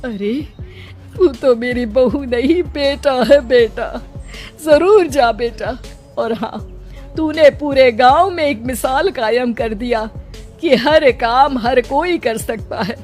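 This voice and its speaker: A woman's voice: high-pitched, slow, darkish tone, soft background music. A woman voicing an older widowed village mother-in-law in a cartoon moral story, motherly and plaintive. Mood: proud. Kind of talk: animated moral story dialogue.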